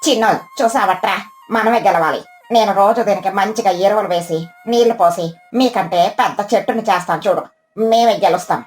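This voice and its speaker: A woman's voice: medium pitch, fairly quick, soft background music, natural voice. A woman voicing a blunt, boastful mother crow in a children's cartoon. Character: bossy, competitive adult female crow, a mother.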